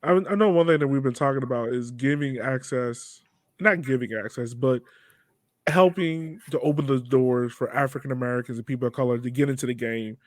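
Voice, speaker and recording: A man's voice: mid-range voice, brisk, no echo. A man hosting a podcast in a casual, conversational way. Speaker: podcast host.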